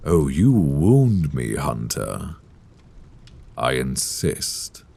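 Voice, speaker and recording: A man playing a suave, sadistic vampire lord in an intimate roleplay audio, courtly and teasing with quiet menace, savoring his words. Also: rumbling low, very animated, very slow, exaggerated voice. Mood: playful, insistent. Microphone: close binaural microphone. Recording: close binaural mic, clear background noise.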